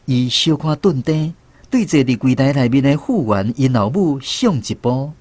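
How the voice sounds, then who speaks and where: A man with a Taiwanese accent narrating a scripted radio drama in an expressive, dramatic way. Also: medium pitch, moderate pace, no echo.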